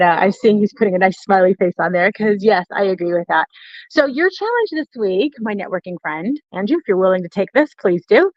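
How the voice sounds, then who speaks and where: A woman's voice: medium pitch, dry recording, lo-fi sound. A woman hosting a live stream, coaching viewers in an emphatic, encouraging, instructive way and addressing them directly. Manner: emphatic, repeats phrases for stress, addresses listeners directly. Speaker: host of a networking community's live stream.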